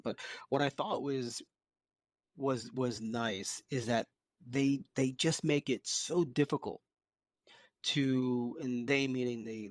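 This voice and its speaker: A man with an American accent and a medium-pitched voice. He discusses news as a podcast co-host in a loose, conversational style, and the sound is very dry. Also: no room sound. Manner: informal, conversational.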